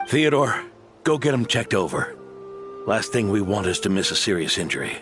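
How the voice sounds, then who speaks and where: An actor playing an aging, modest veteran knight who speaks calmly and with self-deprecation in a game cutscene, with background music. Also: male voice, lowish voice, normal speed. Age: older. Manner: calm, reflective, self-deprecating. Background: background score.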